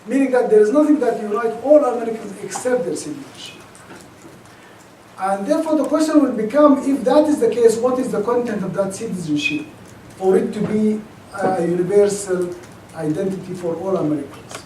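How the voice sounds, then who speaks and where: A man from Sudan with a Sudanese accent, giving an academic lecture in a thoughtful, halting way full of restarts. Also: high voice, mellow, a little hiss or hum. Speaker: guest lecturer. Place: lecture auditorium. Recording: echoey auditorium, mic at a distance.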